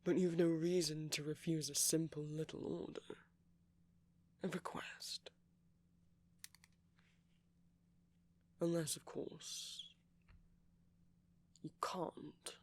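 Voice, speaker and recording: A performer voicing a commanding yet tender, possessive villain in an ASMR roleplay, speaking deliberately in a husky voice that often drops to a whisper. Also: mid-range voice, flat delivery. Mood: stern, coaxing. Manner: slow, deliberate, coaxing, with long pauses. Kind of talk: ASMR roleplay, scripted intimate monologue.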